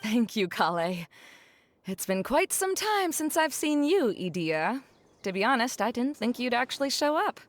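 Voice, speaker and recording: A formal, blunt scholar woman in a video game. Her voice sits fairly high with animated intonation. She is brisk and matter-of-fact, with faint music behind her. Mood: dry, blunt.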